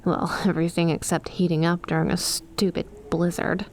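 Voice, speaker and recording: A woman's voice: lowish voice, level tone, moderate pace, a little hiss or hum, natural voice. A woman playing an affectionate young snake-girl best friend in an ASMR roleplay, teasing and chummy as she talks to the listener.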